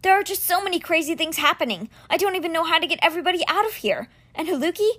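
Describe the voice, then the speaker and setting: A woman's voice: animated, fairly bright tone. Woman doing an anxious, overwhelmed teen boy. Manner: high, strained and rushed.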